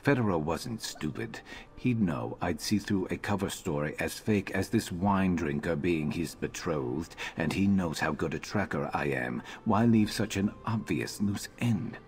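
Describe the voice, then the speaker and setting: Very deep sardonic lizard-man mercenary. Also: measured pace. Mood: musing. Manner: dry, deadpan, disdainful. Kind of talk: video game character dialogue. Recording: music behind the voice.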